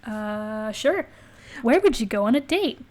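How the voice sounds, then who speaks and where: A woman's voice: a little high, expressive, unhurried, darkish tone. A woman podcast co-host chatting casually and jokingly, with sarcastic, mock-exasperated asides.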